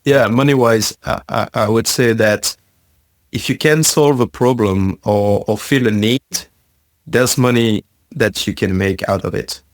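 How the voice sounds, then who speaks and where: Halting Belgian-born man, dry sound. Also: fairly low pitch, no room sound. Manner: thoughtful, halting, frequent fillers and repeated words. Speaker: podcast guest, science communicator. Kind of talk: conversational interview answer.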